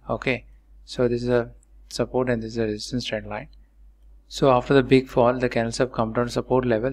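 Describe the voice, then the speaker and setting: A man narrating a chart tutorial in a halting, repetitive, explanatory way as a channel host. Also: mid-range voice. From India.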